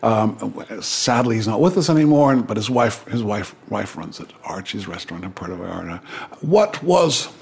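A man talking as a guest in a relaxed conversation, hesitant and full of restarts. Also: fairly low pitch, normal speed, gravelly, breathy edge. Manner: hesitant, many restarts and fillers. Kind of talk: casual chat.

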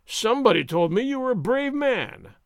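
A blustering, boastful army sergeant, as a man puts him on for a storybook reading, pushing his voice high and loud. He sneers and taunts, swinging wildly up and down in pitch. The sound is dry and close. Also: big pitch swings, moderate pace, dry recording. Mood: taunting. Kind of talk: audiobook character reading.

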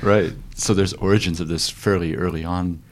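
A man's voice: low-pitched, steady tone, moderate pace. A man in his forties, raised in New Zealand, hosting a podcast interview and asking curious, searching questions with some hesitation. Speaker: podcast host. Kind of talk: casual conversational interview.

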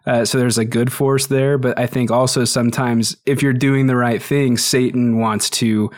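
Guy hosting a podcast, from utah/idaho, mid pitched, upbeat and encouraging. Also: flat delivery, moderate pace. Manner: encouraging, thinks aloud with restarts. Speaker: podcast host. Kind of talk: casual podcast conversation.

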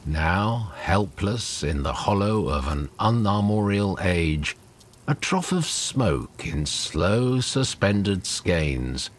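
A man reading poetry aloud in a measured, dramatic recitation, deliberate and with lingering pauses between phrases. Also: low-pitched, sing-song, a little hiss or hum. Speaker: poetry reader.

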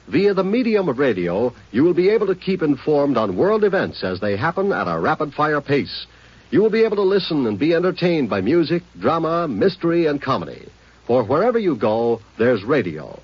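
Male network announcer, 1950s radio. Somewhat high, lightly rough-edged voice, formal and expressive, dulled old broadcast sound. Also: moderate pace, dry recording. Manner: polished, formal announcer delivery. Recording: muffled vintage radio broadcast recording.

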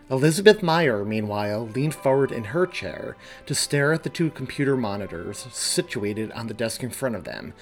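A man hosting a podcast and reading his own story aloud, moving between casual talk and narration. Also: medium pitch. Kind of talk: casual podcast intro and audiobook-style narration.

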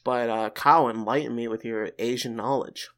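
A man chatting on a casual podcast with an even, middle-pitched voice. He talks loosely and teases the others, with a joking edge. His voice sits in an ordinary register. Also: normal speed. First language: not Japanese. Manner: joking, teasing. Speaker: podcast host.

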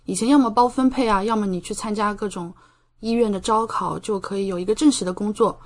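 A woman's voice: medium pitch, quick pace. A young woman from China, a podcast guest, explaining things in a conversational interview style. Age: young adult.

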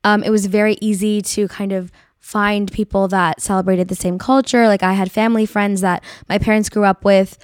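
A woman's voice: mid-range voice, flat delivery, moderate pace, dry recording, clean studio sound. A woman in her twenties from the Bay Area, a university student guest, talking casually with many "like"s and "um"s. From California Bay Area. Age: 20s. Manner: chatty, lots of "like" and "um". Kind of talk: casual conversation.

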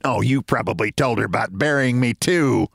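A man voicing a sharp-tongued middle-aged wife who scolds and mocks her husband. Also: mid-range voice, moderate pace, gravelly, no room sound, clean studio sound. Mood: scornful. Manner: scolding, sarcastic.

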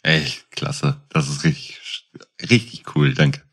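Deep-voiced middle-aged man chatting on a podcast. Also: expressive, takes it slowly, a bit bright, slightly rough. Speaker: podcast co-host. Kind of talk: casual podcast chat.

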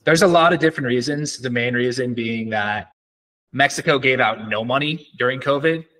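A man's voice: mid-range voice, normal speed, sharp tone, low-quality recording. A man speaking as an entrepreneur guest in a casual interview, explaining things in a conversational way.

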